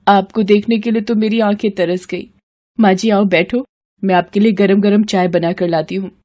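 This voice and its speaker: A woman's voice: medium pitch, fairly even intonation, moderate pace, a little mellow, lightly acted. A woman voicing a devoted, affectionate young daughter-in-law in a moral story, eager and doting. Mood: joyful, doting.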